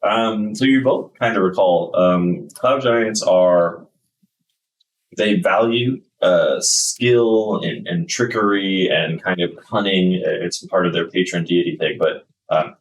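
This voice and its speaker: Deep-voiced game master, mic set back. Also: male voice, measured pace, recorded from a distance, slight background noise, rough recording. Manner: explains at length with many fillers. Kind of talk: narrating a tabletop game.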